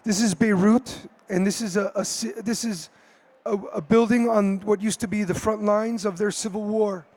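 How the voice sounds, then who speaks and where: A man with a cold and a hoarse voice, speaking hesitantly as an artist on a conference panel, with many fillers and restarts. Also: high voice, fairly even intonation, normal speed, a little mellow. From United States.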